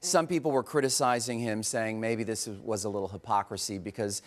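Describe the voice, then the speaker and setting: A man's voice: fairly low pitch, fairly quick. An American man anchoring a TV newscast, moving between reading copy and conversational banter with a co-anchor. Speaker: TV news anchor.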